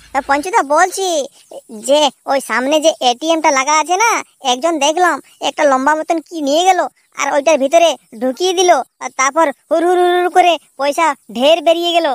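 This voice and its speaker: A performer voicing an excitable, crude petty crook in a slapstick cartoon, exclaiming and repeating words. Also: very high-pitched, no echo, clean studio sound. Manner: exclamatory, repetitive, swearing, bantering. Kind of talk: comic cartoon dubbing in colloquial dialect.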